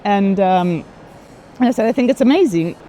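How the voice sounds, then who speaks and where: Woman guest chatting at an art fair, soft dark tone, animated storyteller, bit of background noise. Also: medium pitch, normal speed. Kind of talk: casual chat. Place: art fair, walking tour. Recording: noisy busy fair hall ambience.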